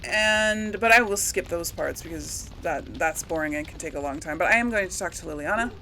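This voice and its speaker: A woman's voice: lowish voice, animated, brisk, bright, forceful tone, slight background noise, low-quality recording. A woman hosting a let's play, chatting casually and thinking aloud as she plays. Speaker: gaming video host.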